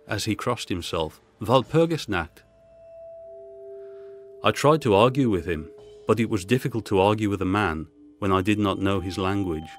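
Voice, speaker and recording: A man reading a Gothic short story as a scripted audiobook narration, with music behind him. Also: on the low side.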